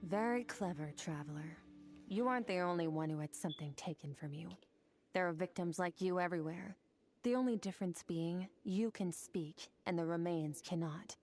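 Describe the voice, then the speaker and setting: A woman's voice: fairly low pitch, normal speed, darkish tone, faint music behind, close to an everyday voice. A woman voicing a cold, aloof and mysterious female warrior in a video game, who speaks in a curt, commanding way. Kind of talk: video game cutscene voice acting.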